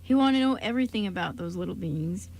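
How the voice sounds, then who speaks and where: A woman's voice: mid-range voice, expressive, fast talker, creaky, a little mellow, low-quality recording. A woman reading scripted story narration as the narrator of a radio play.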